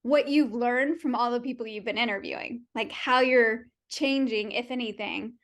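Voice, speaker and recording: A woman hosting a podcast interview, encouraging her guest with quick affirming interjections. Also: a little high, a bit bright. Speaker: podcast host, psychologist. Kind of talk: conversational interview.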